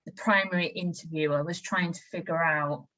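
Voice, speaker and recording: A woman's voice: fairly low pitch, steady tone, normal speed, bright, forceful tone, dull audio. A woman from West Yorkshire with a Yorkshire accent, an interviewer sharing a personal story frankly in conversation. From West Yorkshire, England.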